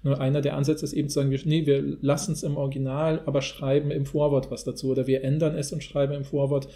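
A man's voice: mid-range voice, level tone, fast, dark tone. A man in a casual analytical podcast discussion, talking in a rambling, academic way.

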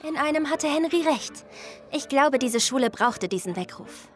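Defiant teenage schoolgirl, higher voice. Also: lively intonation, normal speed. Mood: firm, confronting. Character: defiant, assertive teenage schoolgirl standing up to authority. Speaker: actor. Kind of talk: German audio drama acting. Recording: music under the voice.

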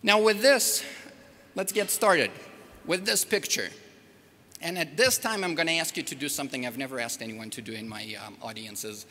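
A middle-aged man from Moldova with a Russian accent, a native Russian speaker, giving an animated, jokey conference presentation at a podium mic in a large ballroom. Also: fairly high pitch, normal speed. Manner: animated, jokey, rising loud on rhetorical questions. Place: large hotel ballroom with audience. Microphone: podium mic, filmed by far camera. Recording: echoey ballroom with long reverb.

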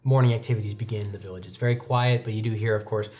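A man's voice: lowish voice, fairly even intonation, very noisy. Young man narrating as game master. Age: young adult. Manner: casual, halting, conversational. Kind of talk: improvised tabletop game narration. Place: indoor studio set.

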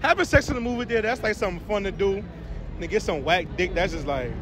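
A man hosting a casual street interview outdoors at night, brash and quick to laugh, cutting in with "hold on" and "wait". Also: sits high. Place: busy city square at night, outdoors. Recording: loud crowd and street noise.

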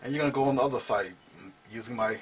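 A man's voice: fast, a little hiss or hum. A middle-aged man teaching painting in a small home studio, talking casually as he works and often dropping to a murmur. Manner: relaxed, talks while he paints, drops to low murmurs over the brush work. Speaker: painting instructor.

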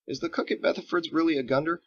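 A wary young farm boy from a storybook, played by a man reading aloud. He sounds earnest and naive, hesitant and plain-spoken, with a raised pitch. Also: expressive, quick pace, muffled recording.

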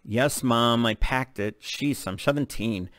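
A man's voice: mid-range voice, unhurried, a bit bright, no echo, close to an everyday voice. Man reading as an exasperated teenage son. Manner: snotty, exasperated. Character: sarcastic, easily annoyed seventeen-year-old son.

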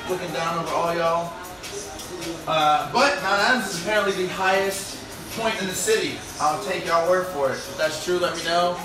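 A man's voice: high-pitched, moderate pace, over music. A young man chatting casually as he hosts a home cooking video, laid-back and rambling, with jokey asides. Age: young adult. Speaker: cooking show host, home chef. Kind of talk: casual chat while cooking. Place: home apartment kitchen. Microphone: phone on counter, a few steps away. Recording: roomy, echoey phone recording from far off.

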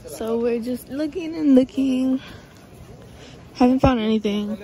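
A woman's voice: high-pitched, a little slow, dark tone, low-quality recording. Chatty young woman vlogging on a phone. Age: young adult. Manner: chatty, upbeat, lots of filler words. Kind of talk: casual vlog chat. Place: outdoor flea market, later indoors at home. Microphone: phone held at arm's length.

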